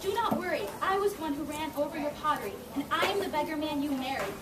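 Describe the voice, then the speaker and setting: A woman's voice: high-pitched, expressive, fast talker. A young woman playing a bossy beggar husband in an amateur puppet show. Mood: coaxing. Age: young adult. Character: bossy beggar husband, secretly a king, stern. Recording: echoey room, distant mic, loud noise.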